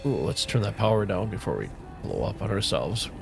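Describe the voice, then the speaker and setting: A middle-aged man chatting casually with viewers on a game livestream, relaxed and rambling, with a soothing voice. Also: on the low side, a little fast, creaky. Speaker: streamer. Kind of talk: casual livestream chat while gaming. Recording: faint music playing underneath.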